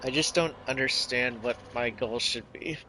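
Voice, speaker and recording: A man's voice: mid-range voice, normal speed, background noise. A man giving casual live commentary as he plays a video game.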